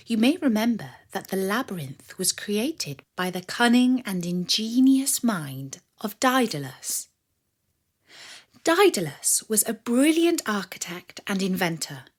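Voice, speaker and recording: Slow, lively woman storyteller. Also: mid-range voice. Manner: measured, expressive storytelling. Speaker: storyteller and host of a children's story podcast. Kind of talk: scripted narration of a myth for children.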